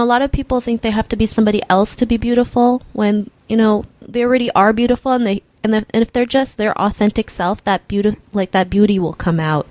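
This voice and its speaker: A woman's voice: mid-range voice, a little mellow, dry recording. A woman hosting a podcast interview, talking loosely and chattily with fillers and restarts. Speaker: podcast host. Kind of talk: casual interview chat.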